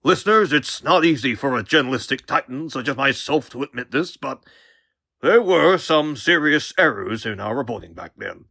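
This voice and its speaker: A man's voice: medium pitch, expressive, normal speed, creaky, bright, forceful tone, breathy edge, no echo, clean studio sound, full character voice. A man voicing a pompous older newspaperman hosting a podcast in a comic book dub, bombastic and theatrical. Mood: grudging, grandiose. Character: pompous, blustering older male newspaperman turned podcast host.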